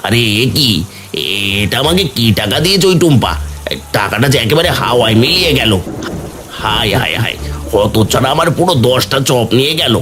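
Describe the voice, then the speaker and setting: A man's voice: mid-range voice, expressive, fairly quick, a bit bright, faint music behind, lightly acted. A man voicing a grumpy, stingy village shopkeeper in a Bengali cartoon story. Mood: angry. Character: grumpy, stingy village fritter-shop uncle.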